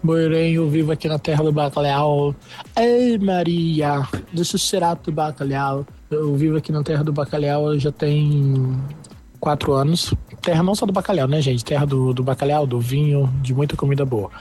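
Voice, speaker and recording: Chatty young man, mellow. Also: a little high, moderate pace. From Brazil. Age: 20s. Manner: chatty, expressive, confiding. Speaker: listener sending a voice message. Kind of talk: recorded voice message.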